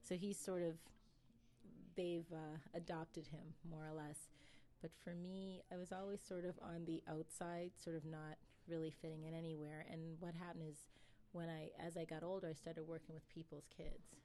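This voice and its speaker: Low, mellow-voiced woman poet. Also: little pitch movement, moderate pace, a little hiss or hum. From England, raised in Nova Scotia. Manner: thoughtful, laughs often, many fillers and restarts. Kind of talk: casual interview answers.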